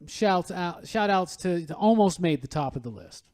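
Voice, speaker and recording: A man with a somewhat high voice co-hosts a casual podcast. He talks with enthusiasm, using loose slang and the odd swear word. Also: lively intonation, normal speed, darkish tone, slight background noise. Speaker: podcast co-host. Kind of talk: casual chat.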